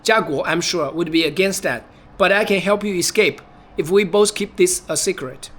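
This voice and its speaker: A practical, protective woman friend speaks with brisk firmness, read by a man. The voice stays high and light, as in a storybook read aloud at home. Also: fairly bright tone. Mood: firm.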